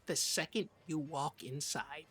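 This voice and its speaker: A woman co-hosts a comedy podcast with halting, improvised banter. Her voice sits low yet has a bright, forceful edge, with faint music behind it. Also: breathy edge. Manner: halting, hesitant.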